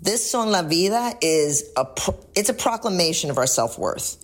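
Low voiced ecuadorian-born woman, spanish native, fired up talking about her song. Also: expressive, normal speed. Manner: earnest, rousing. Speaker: musician guest. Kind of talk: recorded intro of her song.

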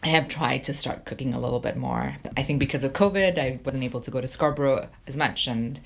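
Low-voiced woman chatting informally. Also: brisk, vocal fry. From Canada, born in Sri Lanka. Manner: informal, explanatory, frequent 'like' hedging. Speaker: interview guest, academic. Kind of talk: casual chat and cooking walkthrough.

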